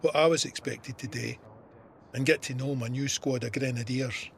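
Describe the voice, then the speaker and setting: A man's voice: medium pitch, steady tone, normal speed, creaky, a little hiss or hum, close to an everyday voice. An actor playing a Scottish First World War corporal, telling his memories plainly in an audio drama. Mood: sombre recollection. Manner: plain-spoken, matter-of-fact storytelling. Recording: artillery and gunfire effects behind.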